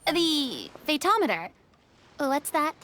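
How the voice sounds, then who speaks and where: A sweet young woman in an anime game, very high and unhurried. She sounds eager yet hesitant, with lilting swings and faint music behind her. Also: big pitch swings, fairly bright tone, heavily acted. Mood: excited. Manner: hesitant, wondering.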